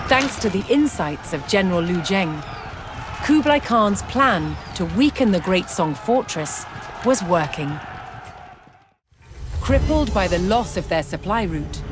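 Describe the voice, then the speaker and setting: A woman giving measured, formal scripted narration in the style of a historical documentary for a game. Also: medium pitch, lively intonation. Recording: music behind the voice. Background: background music.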